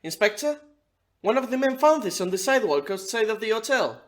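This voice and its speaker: High-pitched dutiful policeman played by a man. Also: very animated, brisk, noisy background. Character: dutiful policeman reporting to the inspector. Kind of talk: amateur audio play acting.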